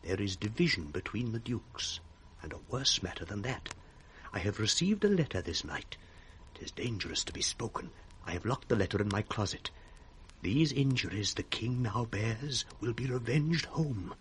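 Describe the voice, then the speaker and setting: A man's voice: on the low side, animated, normal speed, lightly acted. An actor playing an anxious, loyal old nobleman with an earnest, conspiratorial British delivery in a radio play. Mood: secretive, resolute. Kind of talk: 1960s British radio Shakespeare acting. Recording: faintly noisy 1960s radio recording.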